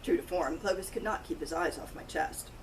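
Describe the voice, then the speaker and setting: A woman's voice: lowish voice, a little fast. A woman author reading first-person narration from her own cozy mystery novel. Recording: distant mic, loud outdoor noise, birds, crickets.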